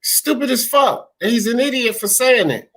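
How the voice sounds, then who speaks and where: A man's voice: very high-pitched, expressive, normal speed, a bit bright. An American man hosting a casual sports talk show, blunt and slangy, swearing freely and emphatically. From United States.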